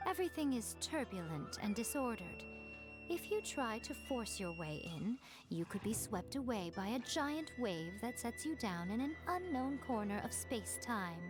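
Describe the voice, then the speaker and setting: An actress voicing a sly, poised shrine priestess with a teasing, arch manner in a video game cutscene. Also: female voice, a little high, big pitch swings, normal speed, over music. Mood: explaining.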